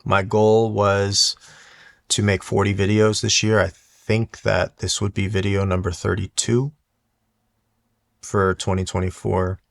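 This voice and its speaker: A man talking casually and reflectively in a monotone, low-key, unhurried way, like a personal video diary for his hobby channel. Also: vocal fry. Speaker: YouTube watch collector and content creator. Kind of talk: casual reflective solo vlog talk.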